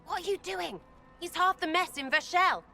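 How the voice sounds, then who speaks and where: A woman's voice: extremely high pitch, moderate pace, gravelly, sharp tone, faint music behind, full character voice. An actress with an English accent playing a cheeky, blunt, hot-tempered young female rogue in a video game. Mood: indignant. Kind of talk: video game cutscene acting.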